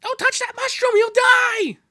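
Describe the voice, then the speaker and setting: A man voicing a shrill, excitable little mushroom fellow from a video game. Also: a little slow, raised voice, heavily acted. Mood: alarmed. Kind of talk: video game character impression.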